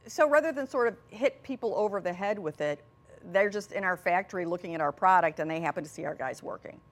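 A woman's voice: on the low side, expressive, quick pace. A mature woman speaking as an interview guest, conversational and anecdotal, repeating phrases for emphasis. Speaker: interview guest, small business representative.

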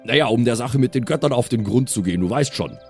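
A man's voice: medium pitch, lively intonation, quick pace, gravelly, faint music behind. Man improvising at a role-playing table. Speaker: player in a tabletop role-playing audio show. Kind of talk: improvised in-character role-play and table talk.

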